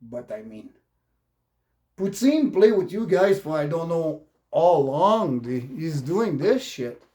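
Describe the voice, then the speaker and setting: An upset man with a French Canadian accent and halting English, a native French speaker, delivering an unscripted, profane political rant as a vlogger. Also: fairly high pitch, very animated, warm tone, reverberant.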